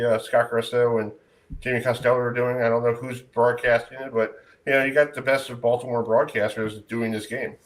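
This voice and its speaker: A man with an American accent hosting a sports talk show in a casual, chatty way. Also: mid-range voice. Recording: distant from the mic.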